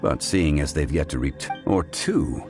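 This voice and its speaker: A man voicing a dignified, analytical gentleman in a video game, speaking in a measured, formal manner. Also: rumbling low. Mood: pondering, composed. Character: composed, scholarly, dignified gentleman consultant, analytical. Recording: clear music behind the voice. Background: game music.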